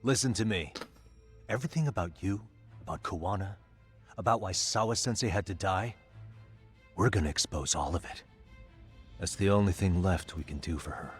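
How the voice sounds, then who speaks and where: An actor playing a determined male detective in a game cutscene, pressing a prisoner with steady, probing questions. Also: low voice, moderate pace, pauses often, slightly rough, soft background music. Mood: stern. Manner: pressing, steady interrogating delivery. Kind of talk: game voice acting, dub.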